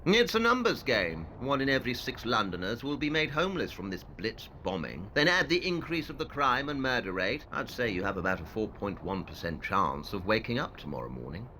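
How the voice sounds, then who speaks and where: A man's voice: fairly low pitch, sing-song, a little fast, few pauses, sharp tone, a little hiss or hum, exaggerated voice, poor audio. An actor playing a coolly logical, aloof male detective in an audio drama, with a detached, matter-of-fact, clipped delivery.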